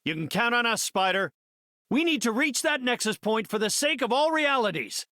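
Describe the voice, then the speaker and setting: Middle-aged genius hero, bright forceful voice, very animated, earnest, articulate, cerebral, video game delivery. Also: male voice, very high-pitched, moderate pace, no room sound, clean studio sound, full character voice. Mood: determined. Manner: articulate, cerebral, heroic declamation. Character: earnest middle-aged male genius scientist superhero, confident leader.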